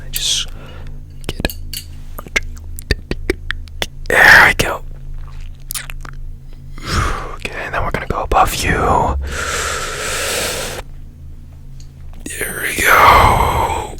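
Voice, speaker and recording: A man playing a strange, curious repairman who tends a broken robot in a gentle, breathy whispered ASMR roleplay, murmuring soothing phrases. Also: unhurried. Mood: soothing, careful.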